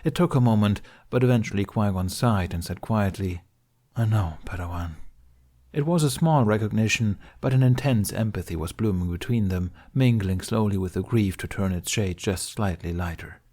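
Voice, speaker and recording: A man's voice: lowish voice, normal speed, few pauses, dark tone. A man reading scripted fiction aloud as an audiobook narrator.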